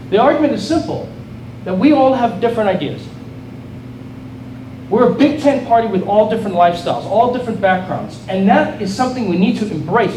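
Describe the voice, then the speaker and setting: A man's voice: sits high, darkish tone. A middle-aged man from South Africa with a South African accent, giving an earnest, rallying political speech to a crowd and often restarting his phrases. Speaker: state legislator giving a speech. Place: event hall with an audience. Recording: echoey hall, voice from far off, noisy.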